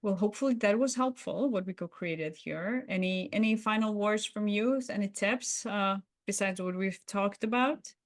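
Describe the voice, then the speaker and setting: A woman's voice: medium pitch, normal speed, few pauses, dry recording, studio quality. A woman co-hosting a podcast in unscripted reflective discussion, agreeable and affirming as she adds her thoughts. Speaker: podcast co-host.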